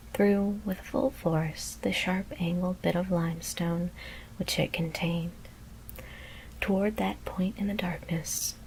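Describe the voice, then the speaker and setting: A woman whispers a scary story in a hushed, deliberate ASMR narration. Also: on the low side, creaky, a little hiss or hum, rough recording.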